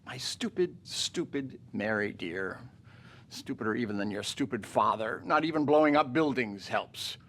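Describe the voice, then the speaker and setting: An anguished father in a dramatic literary reading. His mid-pitched voice is brooding and measured, falling at times to hushed, bitter phrases. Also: animated. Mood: bitter, lonely.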